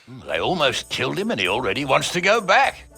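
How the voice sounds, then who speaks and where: A man playing a gruff, sardonic medieval nobleman who talks in a blunt, mocking way in a video game. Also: mid-range voice, huge pitch swings, fairly quick, sharp tone, full character voice. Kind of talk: dramatic video game acting.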